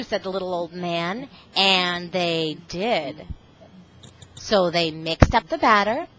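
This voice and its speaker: A woman's voice: mid-range voice, a bit bright, a little hiss or hum. A middle-aged woman reading aloud to a class of children over a video call, exclamatory and often asking them questions. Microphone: video call microphone.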